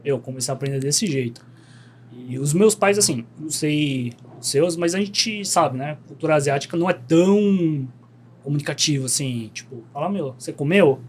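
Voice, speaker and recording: A young man, a podcast guest telling stories in relaxed, slangy chat. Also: on the high side, normal speed, slight background noise. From Brazil. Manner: laid-back storytelling, slangy, animated.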